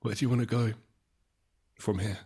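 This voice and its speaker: An actor playing an anguished, guilt-ridden survivor in a post-apocalyptic audio drama, brooding and halting, in a hushed confessional manner. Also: male voice, lowish voice, steady tone, husky, dry recording, clean studio sound. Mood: resigned. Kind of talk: dramatic first-person audio drama narration.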